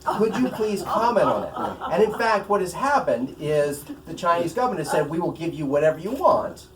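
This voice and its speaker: A man's voice: a little high, very animated, moderate pace, crowd noise. A man giving a live reading from his own novel as its author, breaking off for wry explanatory asides. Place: room at a live bookstore event. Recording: roomy, distant mic, faint noise.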